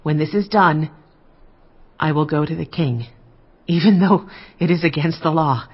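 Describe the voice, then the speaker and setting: An actress playing a grave, resolute queen in a dramatized scripture production, speaking in an earnest, measured way. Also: low voice, husky, dull audio.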